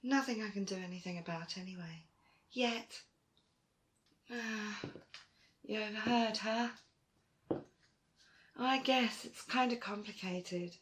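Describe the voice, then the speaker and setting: A woman playing a proud, stubborn archaeologist in an audio roleplay, with emphatic, expressive delivery. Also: takes it slowly, long pauses. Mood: confiding. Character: Dune, proud, determined female archaeologist, stubborn and warm to friends. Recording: roomy, faint rumbling noise.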